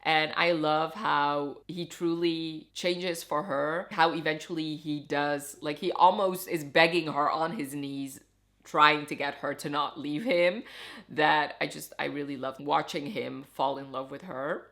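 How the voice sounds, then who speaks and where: A woman's voice: sits low, steady tone, measured pace, fairly bright tone. A woman whose first language is Dutch, chatting casually and expressively as she gives an emphatic review to camera. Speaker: book reviewer.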